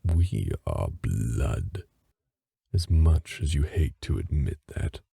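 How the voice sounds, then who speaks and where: Guy doing a haughty cold fae dad for an audio drama, super low dark voice, talks slow n formal. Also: very animated, gravelly, husky, no room sound, studio quality, heavily acted. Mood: haughty contempt. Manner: haughty, formal, condescending, deliberate. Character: haughty aristocratic fae father, cold clan lord. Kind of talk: dramatic audio roleplay acting.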